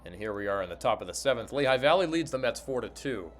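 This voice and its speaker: Guy doing baseball radio play by play, medium pitched voice. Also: animated, fast, a little hiss or hum.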